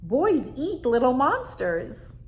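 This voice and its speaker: Lady doing a squeaky little bed monster, scared then giggly, voice jumps all over. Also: slow pace, a little hiss or hum. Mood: timid. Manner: high, excited, giggly. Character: small scared male monster under a bed.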